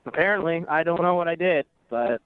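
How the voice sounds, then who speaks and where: A man's voice: fairly high pitch, brisk, clear, resonant tone, dry recording. Man calling in on a dry phone line. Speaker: caller on a radio show. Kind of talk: casual conversational storytelling.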